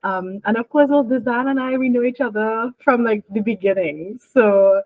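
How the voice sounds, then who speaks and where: A woman's voice: fairly high pitch, moderate pace, no echo. A young woman from Trinidad and Tobago, a marine biologist talking casually and laughing often as she explains things in an interview. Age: young adult. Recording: dry, phone-like line.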